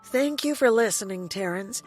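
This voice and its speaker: A woman's voice: fairly high pitch, animated, normal speed, a little mellow, faint music behind. A woman reading scripted closing credits as the announcer of a podcast.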